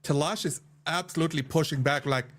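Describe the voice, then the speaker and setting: A man's voice: a little high, a little fast, a bit bright. A man chatting casually about a tabletop role-playing game as one of its players.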